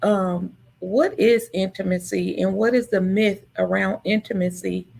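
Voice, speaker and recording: A woman's voice: medium pitch, steady tone, a little slow, gravelly, a little mellow. A woman hosting an interview, affirming and conversational as she sets up questions for her guest. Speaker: host. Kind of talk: casual interview talk.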